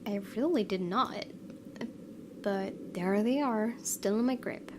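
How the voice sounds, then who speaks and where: A woman's voice: medium pitch, slow. Woman reading a story aloud, noisy background. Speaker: gaming video host reading a visual novel aloud. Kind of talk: expressive story narration with casual asides.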